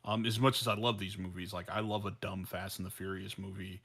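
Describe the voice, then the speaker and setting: A man with a bright, somewhat low voice talks as a podcast co-host. His delivery is casual and rambling, circling back over words as he works out his thought. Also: little pitch movement, fairly quick.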